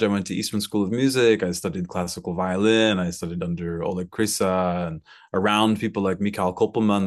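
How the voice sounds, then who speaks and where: An American man in his thirties talking reflectively in an interview, searching for his words and repeating some for emphasis. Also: on the low side. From Brooklyn, United States. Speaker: composer and violinist interviewee.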